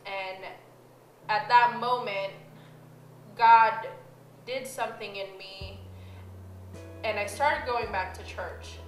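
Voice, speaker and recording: A woman's voice: medium pitch, fairly even intonation, slow pace, long pauses. A young woman from the Philippines shares her personal testimony in an earnest, reflective way. Her voice sits in a roomy space. Music plays steadily behind her. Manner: earnest, reflective, with light laughs. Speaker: church member telling her story.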